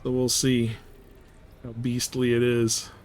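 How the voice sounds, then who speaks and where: A man's voice: mid-range voice, monotone, slow. A man chatting casually and in a laid-back way while streaming a space game live.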